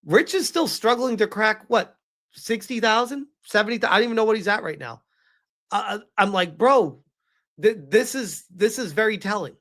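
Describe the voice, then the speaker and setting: High-pitched man, incredulous commentator. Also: normal speed, a bit bright. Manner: incredulous, mocking, emphatic. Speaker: commentator reacting to a clip. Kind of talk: casual reaction commentary to a live chat.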